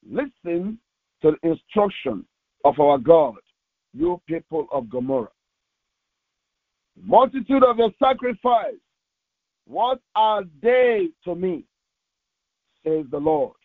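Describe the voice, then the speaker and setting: High-pitched male pastor, tinny phone-like sound. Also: big pitch swings, dry recording. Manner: solemn, deliberate, halting short phrases. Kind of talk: devotional sermon with slow scripture reading aloud. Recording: tinny, phone-like narrowband audio.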